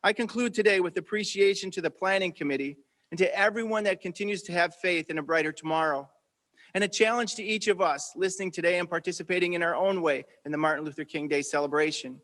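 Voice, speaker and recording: Man, speech closing remarks, earnest and exhorting, somewhat high pitch. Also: fast talker, a bit bright. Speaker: event speaker.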